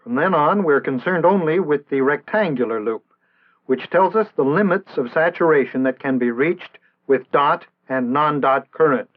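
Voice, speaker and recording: An American man reading scripted instructional narration in a measured, formal, didactic way, in the style of an early 1960s training film. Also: a little high, expressive. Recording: tinny band-limited vintage 1960s film soundtrack.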